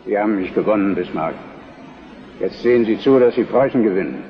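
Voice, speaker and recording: An older man acting a weary, dutiful king of Prussia in a historical radio drama, grave, measured and formal. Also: on the low side, natural voice. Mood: solemn. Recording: dull reverberant radio play recording.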